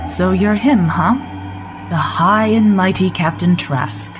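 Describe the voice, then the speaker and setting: An actress playing a proud, combative starship commander in an audio drama, sarcastic and blunt. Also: female voice, on the low side, slow pace, over music. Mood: needling.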